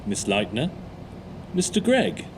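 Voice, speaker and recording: A man's voice: medium pitch, huge pitch swings, slow, full character voice. An ominous office boss played by a man speaks with extremely swooping intonation over noisy ambience. He is coolly overbearing and stiffly, formally polite, in audio drama style.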